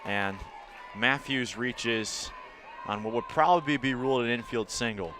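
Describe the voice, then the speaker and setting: A man's voice: medium pitch, measured pace, fairly bright tone, a faint crowd, slight background noise. American man calling ball games play-by-play. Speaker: play-by-play announcer. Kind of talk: live baseball and softball commentary.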